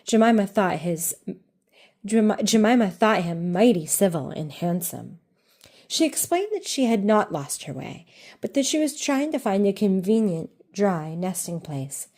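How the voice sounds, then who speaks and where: A woman narrates a children's storybook, reading aloud in an expressive, deliberate way. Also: medium pitch.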